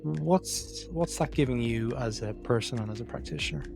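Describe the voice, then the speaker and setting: Male podcast host, friendly interviewer, music bed clearly under him. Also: medium pitch, moderate pace. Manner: friendly, encouraging with short backchannels.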